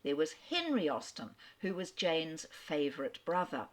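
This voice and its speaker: A woman's voice: deep voice, very animated, unhurried. A woman from Australia telling literary history in an articulate, storytelling lecture manner, with the odd filler. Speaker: speaker on famous authors. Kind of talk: lecture-style literary talk.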